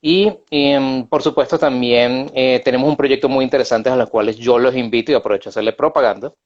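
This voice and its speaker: A man's voice: medium pitch, fairly even intonation, a little fast, lo-fi sound. A middle-aged man with a Venezuelan accent, a guest in a casual live video interview, talking freely with many hesitations and side remarks. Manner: chatty, many filler hesitations and self-asides. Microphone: video call.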